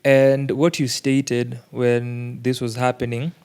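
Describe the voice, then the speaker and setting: Interviewer asking measured questions. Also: medium pitch, flat delivery, a little mellow, clear, resonant tone.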